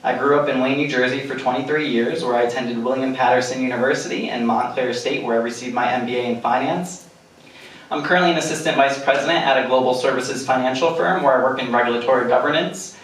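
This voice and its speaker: A man from Italy running for city council, giving a smooth, prepared self-introduction. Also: mid-range voice, fairly even intonation, fast talker, a bit bright. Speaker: political candidate. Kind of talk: opening statement at a debate. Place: theater stage before a live audience. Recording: echoey theater from far off.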